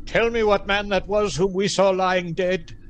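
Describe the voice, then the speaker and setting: An actor playing an old repentant miser in a radio play, with fervent, theatrical, exclamatory delivery. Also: male voice, sits high, fairly even intonation, a little slow, slight background noise. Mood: fearful, solemn.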